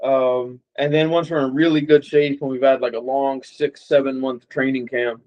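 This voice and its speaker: A man's voice: mid-range voice, normal speed. A young man from Las Vegas, a boxer guest, talking casually and rambling with fillers. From Las Vegas, USA. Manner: relaxed, rambling with many fillers. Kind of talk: casual interview chat. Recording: dull lo-fi audio.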